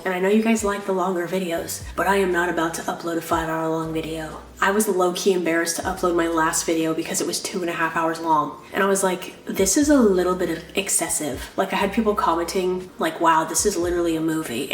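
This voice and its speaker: A woman's voice: on the low side, reverberant. A young woman vlogging in a casual, rambling way, full of "like" fillers, sounding nasal from a cold. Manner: rambling, lots of "like" fillers, apologetic. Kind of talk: casual chatty vlog.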